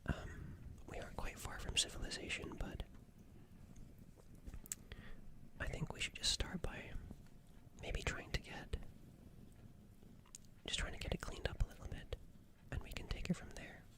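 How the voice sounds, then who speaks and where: A performer playing a gentle, nervous alien in a whispered ASMR roleplay, speaking in a breathy, hesitant, stammering and soothing way. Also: normal speed, close to an everyday voice. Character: gentle, nervous alien caring for an injured human.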